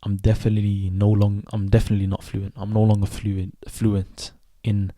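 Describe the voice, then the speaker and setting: A young man in his twenties who grew up in Sweden, hosting a reflective solo podcast and speaking thoughtfully, with pauses and self-corrections. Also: on the low side, completely flat delivery, mellow, dry recording, clean studio sound. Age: 20s. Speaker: podcast host.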